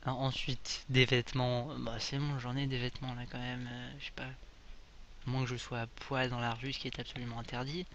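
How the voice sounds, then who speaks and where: Joking gamer, slightly dull recording. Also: male voice, mid-range voice, little pitch movement, normal speed, fairly bright tone, a little hiss or hum. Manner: joking, reads on-screen English text aloud. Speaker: gaming video creator. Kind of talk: casual let's play commentary.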